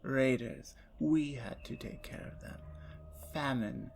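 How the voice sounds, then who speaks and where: A woman voicing a playful, talkative female vampire in an ASMR roleplay, teasing and dropping into soft whispers. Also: a bass voice, takes it slowly, vocal fry, a little hiss or hum, exaggerated voice. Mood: bitter.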